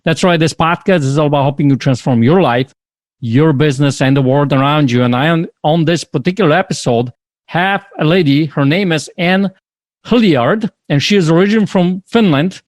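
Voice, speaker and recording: A man's voice: on the high side, a little fast, no room sound. A man hosting a podcast interview, upbeat and enthusiastic as he introduces his guest. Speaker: podcast host.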